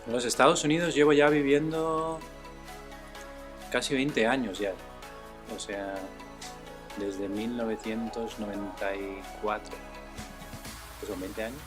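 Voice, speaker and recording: Painter, man in his forties from Spain, mid-pitched, unhurried interview talk, faint music under it. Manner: relaxed, thoughtful, with many fillers. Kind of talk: casual documentary interview.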